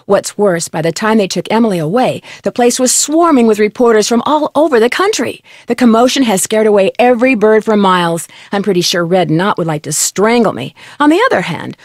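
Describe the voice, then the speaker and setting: An actress playing a curious, determined young detective in an adventure game, with an American accent and clear, expressive delivery. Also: female voice, medium pitch, no echo, studio quality, close to an everyday voice. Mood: lively, recounting. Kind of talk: scripted adventure game voice lines.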